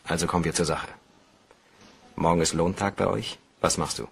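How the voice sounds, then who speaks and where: An actor playing an embittered, determined bank cashier in a crime radio play, speaking in a brisk, commanding, husky way. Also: male voice, deep voice, steady tone, slight background noise. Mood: businesslike, urgent. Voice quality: gravelly, husky.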